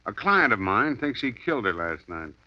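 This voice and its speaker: A man's voice: lowish voice, sing-song, normal speed, no echo. An actor playing a wisecracking, breezy male private detective in a 1950s radio drama. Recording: dull lo-fi 1950s radio broadcast.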